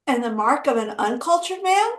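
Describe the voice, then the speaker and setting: A woman playing a gentle elder who quizzes a child in a stage drama, with deliberate delivery. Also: high-pitched, expressive, roomy sound. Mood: gentle, approving.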